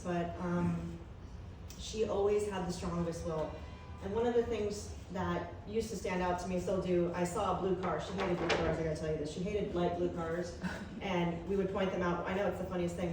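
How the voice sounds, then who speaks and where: A woman with a fairly low voice shares memories off the cuff, chatty and loose with "um"s. She is heard from far off in a very echoey room, with clear noise behind her. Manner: chatty with frequent fillers. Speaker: caregiver sharing memories. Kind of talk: unscripted remembrance. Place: memorial gathering in a large room.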